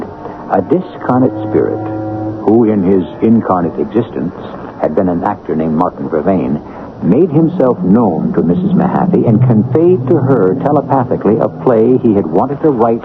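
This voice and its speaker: Deep formal male radio host, old broadcast. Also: very animated, narrow, tinny audio, over music. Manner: measured, formal, dramatic pauses. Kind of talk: 1970s scripted radio drama narration.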